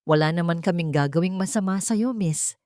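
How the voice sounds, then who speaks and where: A woman's voice: lowish voice, moderate pace, a little mellow, dry recording, clean studio sound, close to an everyday voice. A Filipino woman voicing a mocking male street loiterer who leads a menacing trio in a narrated story. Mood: mocking menace. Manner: mocking, teasing.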